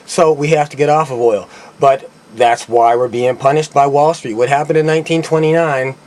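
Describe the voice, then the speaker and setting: A man's voice: a little high, steady tone, normal speed, darkish tone. A man giving an unscripted, opinionated political monologue, speaking earnestly and often stammering or repeating himself mid-thought. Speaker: online commentator.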